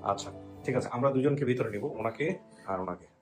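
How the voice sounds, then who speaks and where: A man with a Bangladeshi accent hosting and interviewing guests in a brisk, directing manner. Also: medium pitch, music in the background. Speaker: host.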